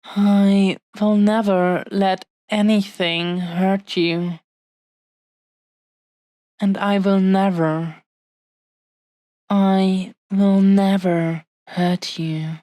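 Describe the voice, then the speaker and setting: Woman acting as affectionate dragon girl, possessive, tender, intimate audio roleplay, extremely unhurried, close dry sound. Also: on the low side, flat delivery, warm tone, dry recording. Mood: adoring, possessive. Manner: slow, lingering, soothing, affectionate delivery with long pauses. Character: adoring, possessive dragon-blooded woman, tender fiancée hoarding her treasure.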